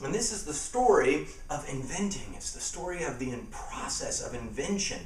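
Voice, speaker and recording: A man's voice: a little high, animated, moderate pace, a little mellow, reverberant, recorded from a distance, slight background noise, poor audio. A middle-aged man talking casually and emphatically about his school assembly show, repeating key phrases for effect. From Texas. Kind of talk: casual promotional talk to camera.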